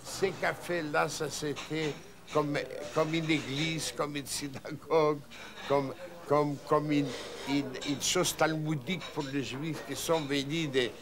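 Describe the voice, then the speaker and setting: Slow, halting older man. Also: a little high, fairly even intonation, crowd noise. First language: Russian. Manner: halting, repeats words, searching for phrases. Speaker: witness interviewed in a documentary. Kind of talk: unscripted reminiscence. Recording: loud background ambient noise.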